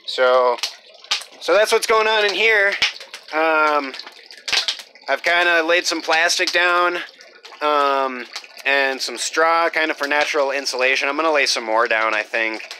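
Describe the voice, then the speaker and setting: A young man walking through his half-built homestead, talking casually and rambling with many fillers, in a voice he calls monotone. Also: on the high side, measured pace, background noise. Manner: rambling, lots of fillers, self-described monotone. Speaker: homesteader vlogger. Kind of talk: casual off-grid build vlog. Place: half-built earthship and outdoor rooftop.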